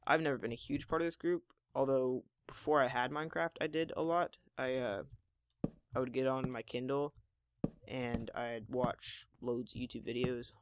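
A hoarse teenage boy in his mid-teens, with occasional voice cracks, chatting casually while playing a building game at home. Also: mid-range voice, steady tone, normal speed. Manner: rambling, chatty, self-deprecating. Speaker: Minecraft gameplay channel host. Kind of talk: casual gameplay commentary.

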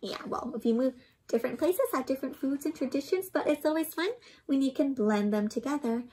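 A young woman doing an interactive storybook read-aloud for children, expressive and gently teacherly, often putting questions to her listeners. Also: high-pitched, fairly quick. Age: young adult. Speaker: children's storybook reader and host. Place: small indoor room with books and plants.

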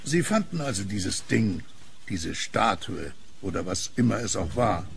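An actor playing a polite, inquisitive and sceptical gentleman in a horror radio drama. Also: fairly low pitch, sing-song, unhurried, vocal fry, slight background noise, full character voice. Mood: curious, sceptical. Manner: courteous, inquisitive. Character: polite, curious, sceptical gentleman listener with a pipe.

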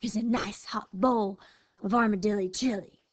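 A woman's voice: mid-range voice, fairly even intonation, normal speed, dry recording, natural voice. A woman voicing a hungry, scraggly female coyote in a storybook, growling and snapping out menacing demands.

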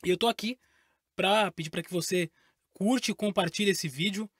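A man's voice: high-pitched, moderate pace, fairly bright tone, no echo. Man at a studio mic, dry close sound. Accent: Brazilian Portuguese. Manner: friendly, hesitant fillers. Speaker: actor and voice actor. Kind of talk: casual promo to camera. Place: home studio booth. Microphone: studio mic with pop filter, headphones.

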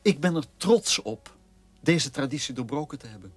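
An actor playing the bitter, idealistic son of a war criminal, speaking in an earnest, accusatory way in a radio play. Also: male voice, medium pitch, lively intonation, moderate pace, soft background music, natural voice. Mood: proud, defiant.

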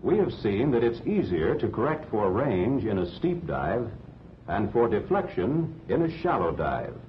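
An American man reading scripted instructional narration for a 1940s training film in a measured, didactic way. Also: big pitch swings. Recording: dull 1940s film soundtrack, rumbling noise.

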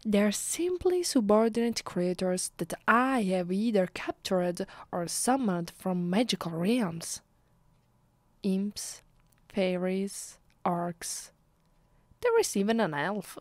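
A woman with an Italian accent voicing a haughty, sadistic vampire mistress in a close-miked ASMR roleplay, soft and breathy, often dropping to a whisper, commanding and deliberate. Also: medium pitch, expressive, no room sound. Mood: cool, commanding. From Italy. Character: haughty, sadistic female vampire mistress savoring her power. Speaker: voice actress. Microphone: close microphone.

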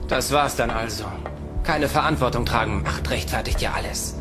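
A man's voice: mid-range voice, moderate pace, music in the background, natural voice. A man playing an emotional, protective human captive in a science fiction audio drama, with an intense delivery. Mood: bitter, bewildered. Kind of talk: German audio drama acting.

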